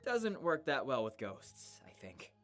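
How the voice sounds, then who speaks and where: A woman's voice: extremely low pitch, sing-song, a little slow, soft background music, exaggerated voice. A woman voicing an easygoing, nonchalant teenage boy in a visual novel, talking in a casual, hesitant, placating way. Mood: amused, nonchalant. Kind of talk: visual novel character acting.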